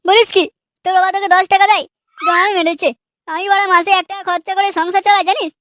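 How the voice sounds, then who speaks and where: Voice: very high voice, fairly even intonation, fast, fairly bright tone, no echo, clean studio sound. A performer voicing a hot-headed, lazy troublemaker in a Bengali comedy cartoon, crude and blustering. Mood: joking.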